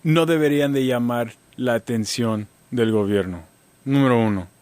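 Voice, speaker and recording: A man giving legal advice as a guest on a radio talk show, speaking haltingly with many restarts and fillers. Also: medium pitch, darkish tone, dry recording. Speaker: immigration lawyer guest. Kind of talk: radio interview answers.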